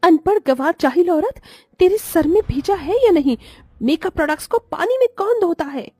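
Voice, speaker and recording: A woman voicing a haughty, quick-tempered young city daughter-in-law in a cartoon, scornful and mixing in English. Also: very high-pitched, expressive, no room sound. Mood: furious. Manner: scornful, sharp-tongued, mixes in English. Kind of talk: cartoon moral story voice acting.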